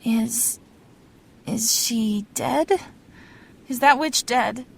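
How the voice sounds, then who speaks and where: An uneasy young woman in an audio drama. She speaks slowly and skeptically in a fairly high voice, over faint rumbling noise. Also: vocal fry, heavily acted. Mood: nervous.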